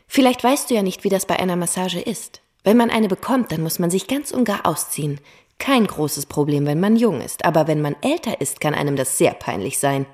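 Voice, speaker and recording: An actress playing a brash young masseuse in a radio play, breezy, chatty and teasing. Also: female voice, lowish voice, animated, brisk, a little mellow, slightly rough. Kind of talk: radio drama acting.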